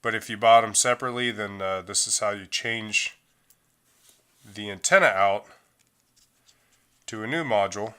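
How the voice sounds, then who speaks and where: A middle-aged man talks through a phone repair as a hands-on tutorial. His voice sits a little low, but it sounds bright and forward. His delivery is casual and unpolished, full of fillers and restarts. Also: normal speed, long pauses, clean tone. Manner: relaxed, loose delivery with frequent fillers.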